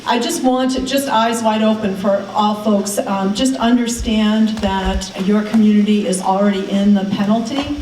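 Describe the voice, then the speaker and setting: A woman assistant superintendent addresses a town meeting, laying out a clear, cautionary point. She stands back from the mic. Her voice rings in an echoey hall over faint noise. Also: medium pitch, steady tone, normal speed, few pauses, a little mellow, far from the mic. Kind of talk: official remarks at a meeting.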